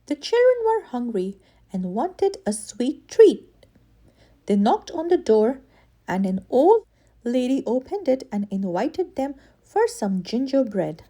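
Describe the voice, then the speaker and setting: A woman reading a children's story aloud in an expressive way, with animated, dramatic emphasis. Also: fairly high pitch, slow pace. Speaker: children's story reader and channel host.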